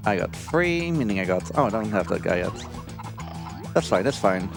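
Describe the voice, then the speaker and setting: Male speedrunner, casual live commentary, understated and chatty, clear game music behind. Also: lowish voice, big pitch swings, moderate pace. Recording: live marathon stream with game audio.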